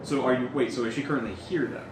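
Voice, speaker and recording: Male tabletop player, rapid casual talk, inquisitive, heard distantly in an echoey, slightly noisy room. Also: mid-range voice, fairly even intonation. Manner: questioning, working out details aloud. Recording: echoey room, distant mic, background noise.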